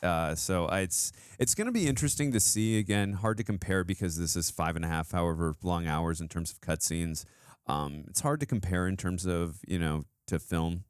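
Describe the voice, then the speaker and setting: Very low, clear-voiced enthusiastic man. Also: normal speed, no echo, studio quality. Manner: effusive, emphatic. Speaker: reaction show host. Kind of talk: casual enthusiastic review chat.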